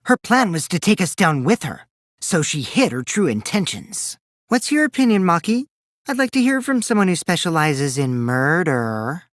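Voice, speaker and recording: A man's voice: fairly high pitch, big pitch swings, moderate pace, a bit bright, no room sound, clean studio sound. An actor playing a mischievous, taunting teenage boy in a video game, theatrical and teasing, with a youthful boyish voice that can turn from cutesy to cold. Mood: sly, probing. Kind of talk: animated game dialogue in a murder-mystery trial.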